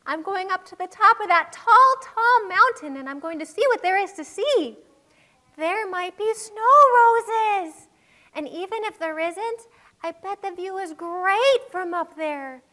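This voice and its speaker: A woman's voice: extremely high pitch, expressive, a little slow, pushed and bright, heavily acted. A woman playing a bold, curious young village girl in a children's puppet show, cheeky and eager, with a whiny edge. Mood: eager, determined.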